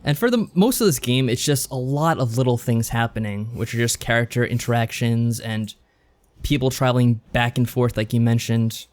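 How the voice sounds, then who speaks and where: A man co-hosting a podcast, chatting casually about games in a measured, explanatory way. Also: mid-range voice. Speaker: podcast co-host. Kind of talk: casual visual novel review chat.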